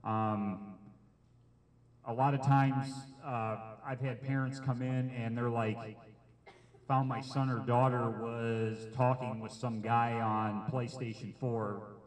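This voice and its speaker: A middle-aged man with a loud, carrying voice gives an informal public presentation in a hesitant, plain-spoken way with frequent pauses. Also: mid-range voice, little pitch movement, big-room sound. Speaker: sheriff's detective giving a public safety presentation. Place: meeting room with an audience. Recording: amplified microphone, distant room pickup.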